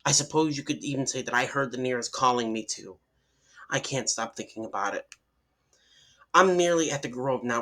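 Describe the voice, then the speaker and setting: A man's voice: medium pitch, a bit bright. A man reading a horror story aloud in dramatic first-person narration, sometimes stumbling over words and restarting.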